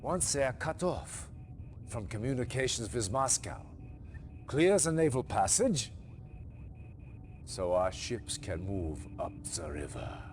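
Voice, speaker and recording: An actor playing a commanding male officer who gives measured, authoritative mission briefings in a game cutscene. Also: mid-range voice, very animated, a little mellow. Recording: game soundtrack music underneath.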